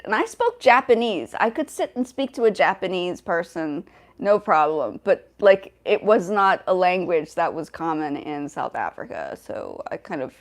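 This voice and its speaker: A young woman hosting a casual livestream, talking in a rambling way with many fillers and restarts. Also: medium pitch. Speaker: livestream host.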